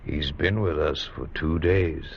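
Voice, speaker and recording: An actor playing a formal, deferential and quietly sinister innkeeper in an old-time radio drama. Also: male voice, very deep voice, unhurried, vocal fry, exaggerated voice. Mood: knowing, deferential. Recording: muffled, hissy old radio recording.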